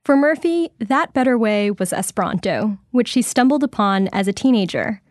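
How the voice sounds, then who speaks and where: A woman reporter narrating a feature story in a clear, measured scripted delivery. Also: a little high, animated, no echo, clean studio sound. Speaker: radio reporter.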